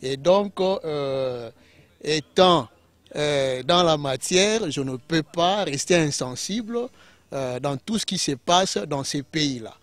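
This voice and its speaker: A man from Kinshasa with a Congolese French accent, explaining as an interview guest in a halting way with many self-corrections. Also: a little high, animated, dry recording. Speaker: guest political scientist.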